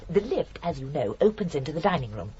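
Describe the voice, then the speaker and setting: An actress playing a genteel, chatty older British murderess in a radio drama, prim and sweetly matter-of-fact. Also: female voice, low voice, very animated, fast talker, mellow, dull audio. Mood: brightly matter-of-fact.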